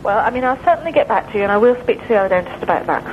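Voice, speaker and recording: Glasgow receptionist lady, scottish accent, fast and um-ing a lot, muffled phone sound. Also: mid-range voice, expressive, a little hiss or hum. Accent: Glaswegian Scottish. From Glasgow, Scotland. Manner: hesitant, many "um" and "I mean" fillers. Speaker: dental practice receptionist. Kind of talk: phone conversation.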